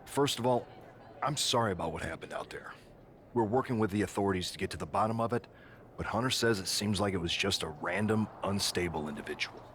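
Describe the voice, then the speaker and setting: A man playing a deep-voiced, placating wrestling manager. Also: fairly quick, a bit bright, a little hiss or hum, close to an everyday voice. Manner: reassuring, managerial, dismissive of worries. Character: smooth-talking, placating male wrestling general manager. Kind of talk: game cut-scene dialogue.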